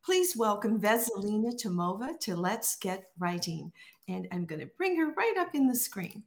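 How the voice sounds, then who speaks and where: A woman's voice: medium pitch, very animated, moderate pace, vocal fry. A woman hosting a writing podcast, welcoming a guest and asking about her journey with enthusiasm. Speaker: podcast host. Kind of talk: interview host chat.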